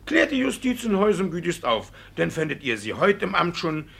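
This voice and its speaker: An actor playing a scheming, fawning middle-aged village judge in a classical German radio play, muttering obsequiously and blustering when cornered. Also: male voice, high voice, sing-song, brisk, vocal fry, a bit bright, husky, heavily acted.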